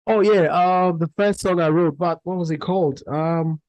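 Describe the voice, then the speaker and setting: A young man from Cameroon answers interview questions in a relaxed, rambling way, often restarting his sentences. His voice sits on the high side for a man, and the recording is dry and close. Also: normal speed, dry recording, poor audio. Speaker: Afrobeats artist, guest.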